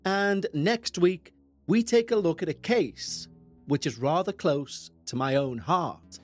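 A man with a Liverpool accent hosting a podcast, reading true-crime narration in a measured, dramatic way. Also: fairly high pitch, soft background music. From England. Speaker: podcast host.

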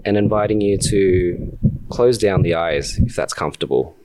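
A man leading a guided meditation as a podcast host, speaking calmly and deliberately in short phrases with long pauses. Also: low-pitched, a little hiss or hum, low-quality recording.

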